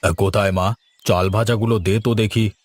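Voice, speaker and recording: A man's voice: on the low side, steady tone, moderate pace, lightly acted. A man voicing a patient, thrifty old village grandfather in a cartoon, with a folksy, coaxing way of talking. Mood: easygoing. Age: older. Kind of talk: cartoon voice acting.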